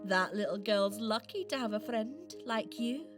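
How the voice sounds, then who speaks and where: A woman playing a kind, encouraging Christmas tree fairy in a children's radio play, gentle, motherly and reassuring. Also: a little high, normal speed, music in the background, close to an everyday voice. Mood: proud, reassuring.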